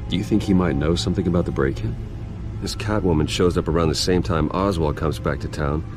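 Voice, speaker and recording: A man's voice: low voice, fairly quick, music in the background, lightly acted. An actor playing a serious, guarded American man in his thirties in a video game, terse and understated. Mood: pensive, wary.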